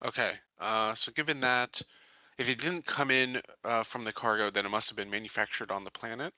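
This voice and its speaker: A man playing a tabletop roleplaying game, casually reasoning aloud in a halting way. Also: mid-range voice, fairly even intonation, sharp tone, dry recording, rough recording.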